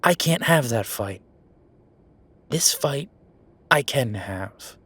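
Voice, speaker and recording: A man performing a roleplay audio drama as an exasperated werewolf official venting to his partner, weary, sardonic and conversational with emphatic pauses. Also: medium pitch, animated, bright, forceful tone, husky, exaggerated voice. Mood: frustrated, defiant.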